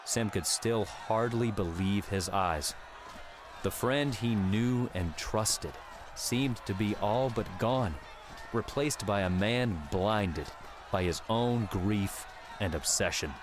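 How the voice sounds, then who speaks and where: Low-pitched male audiobook narrator. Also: slow pace, background noise. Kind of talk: scripted story narration.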